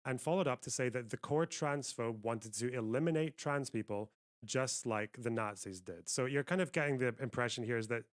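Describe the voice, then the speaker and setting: Man, medium pitch, articulate, insistent, argumentative debate commentary. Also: moderate pace.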